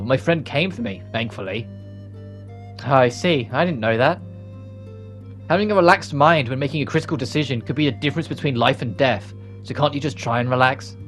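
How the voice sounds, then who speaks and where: Guy doing a lets play, reading out visual novel lines n chatting, mid pitch, faint music behind. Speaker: let's play host reading game dialogue aloud. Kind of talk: reading visual novel dialogue aloud with casual commentary. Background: game music.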